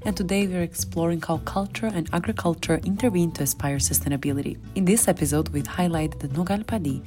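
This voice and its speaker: A woman's voice: lowish voice, a little mellow. A woman hosting a podcast, reading a scripted opening and then asking questions in a polite, formal way. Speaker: podcast host.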